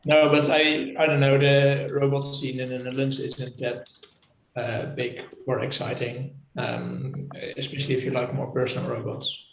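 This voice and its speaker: A man taking part in a casual video call chat, asking questions in a relaxed, chatty way with fillers. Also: medium pitch, moderate pace, gravelly, echoey room, slight background noise, poor audio. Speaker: meetup participant asking questions.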